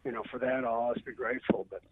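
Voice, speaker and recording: A man's voice: medium pitch, steady tone, husky, dry recording. Older American man on a tinny phone line. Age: 70s. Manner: halting, rambling. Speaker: phone-in guest, retired PE teacher and coach. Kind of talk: casual reminiscing interview. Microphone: telephone.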